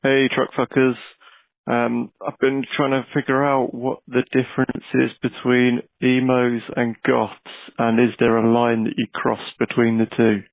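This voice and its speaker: A man's voice: mid-range voice, level tone, measured pace, gravelly, no room sound. A man leaving a casual voicemail as a caller, on a dull lo-fi recording.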